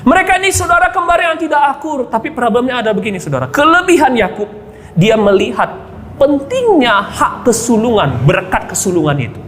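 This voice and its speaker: Lively preaching man in an echoey hall. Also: very high-pitched, a little fast, slight background noise. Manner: addresses the congregation constantly, asks rhetorical questions. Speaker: pastor. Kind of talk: sermon.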